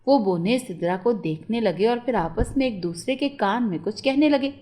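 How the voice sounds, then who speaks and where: A woman's voice: fairly high pitch, expressive, normal speed, mellow. A woman narrating a scripted moral story for children as a storyteller.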